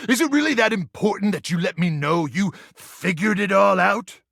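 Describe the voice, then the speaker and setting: Gung-ho game microwave, male, forceful bright voice, gravelly strain, melodramatic military bravado, insecure, close dry sound. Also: high voice, animated, moderate pace, no room sound, exaggerated voice. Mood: sulky, bitter. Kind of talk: animated video game voice acting.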